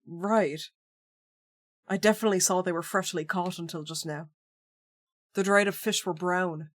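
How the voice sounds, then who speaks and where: A woman voicing a level-headed young male protagonist in a calm, matter-of-fact manner. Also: fairly low pitch, moderate pace, no room sound, studio quality, lightly acted.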